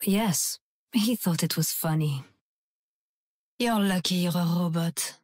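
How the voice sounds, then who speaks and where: A woman's voice: fairly low pitch, takes it slowly, darkish tone, no echo, studio quality. An actress playing a cold, aloof female sniper assassin with a French accent and a clipped, disdainful manner. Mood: cold, dismissive.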